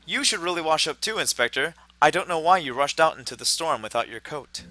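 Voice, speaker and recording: A man's voice: a little high, expressive, brisk, bright, forceful tone, dry recording. A man playing a pompous, blithely jovial mad doctor in a noir radio drama. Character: eccentric, oblivious male mad doctor hosting a dinner, blithely jovial.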